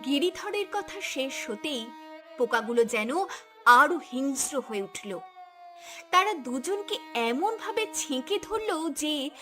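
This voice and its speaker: A woman's voice: high-pitched, big pitch swings, slow, a bit bright, soft background music. A woman narrating a scripted cartoon story in a dramatic storytelling style.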